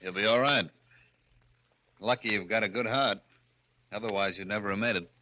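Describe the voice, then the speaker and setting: Casting: matter-of-fact crime doctor in old radio play, bright edge to the voice, muffled lo-fi recording. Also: male voice, lowish voice, moderate pace. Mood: wry, reassuring. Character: calm, wry male underworld doctor. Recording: dull lo-fi old radio sound.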